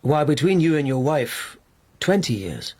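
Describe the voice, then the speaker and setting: A probing, husky lieutenant. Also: male voice, a little high, very animated. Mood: provocative. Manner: measured, probing, quietly provocative. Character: shrewd young lieutenant, probing investigator. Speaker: investigator in a radio play. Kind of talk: British radio drama acting.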